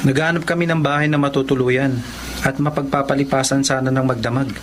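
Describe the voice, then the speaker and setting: An actor with a Filipino accent playing an excitable, easily astonished hunter in a radio drama. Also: male voice, mid-range voice, fast, a little hiss or hum, close to an everyday voice. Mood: polite, dismayed.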